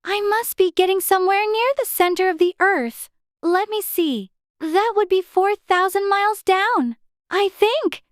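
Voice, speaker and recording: A woman's voice: very high voice, a little slow, no room sound, studio quality. A woman playing a curious, chatty little girl who muses aloud in an earnest, prim way for an audiobook. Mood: musing, curious. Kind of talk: dramatized audiobook character acting.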